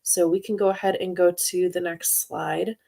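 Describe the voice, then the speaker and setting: A woman presenting in a webinar about study programs in an informative, steady way, with frequent hesitation fillers, over a computer mic. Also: fairly low pitch, normal speed, creaky. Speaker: university international student services director, presenter.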